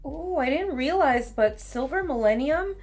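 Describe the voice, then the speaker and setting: A young woman with a slightly raspy voice, chatting casually as she tries on and reviews makeup at home. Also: high voice, expressive, measured pace, darkish tone. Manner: chatty, casual commentary while applying makeup. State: raspy voice from talking too much. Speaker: beauty vlogger. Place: home beauty room, indoors.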